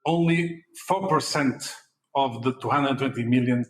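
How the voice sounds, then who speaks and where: A man in his forties with a Portuguese accent answers interview questions, halting often on fillers and restarted words. His voice sits at a middle pitch. The room around him is echoey. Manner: hesitant, many fillers and repeated words. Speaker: fintech CEO guest.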